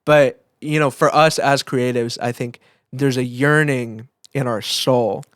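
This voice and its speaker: A man's voice: mid-range voice, steady tone, unhurried, a bit bright, dry recording. A man co-hosting a podcast in casual chat, informal and searching for his words, speaking into a close microphone. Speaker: podcast co-host.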